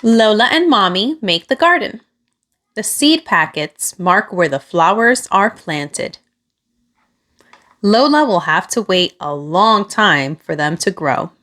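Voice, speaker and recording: A woman reads a picture book aloud for young children. She takes her time over each phrase, speaking slowly and deliberately. Her mid-pitched voice moves up and down expressively as she narrates. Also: sing-song, fairly bright tone. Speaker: children's storybook reader.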